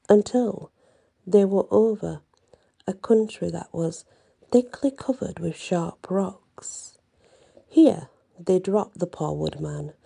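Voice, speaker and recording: Very dark-voiced, slow woman storyteller. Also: mid-range voice, gravelly, breathy edge. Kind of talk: bedtime ASMR storytelling.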